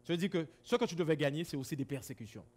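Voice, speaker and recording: A man from Guadeloupe preaching a sermon to a congregation in a church hall, swinging between loud exclamations and low asides. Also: lively intonation, racing. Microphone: camera-recorded.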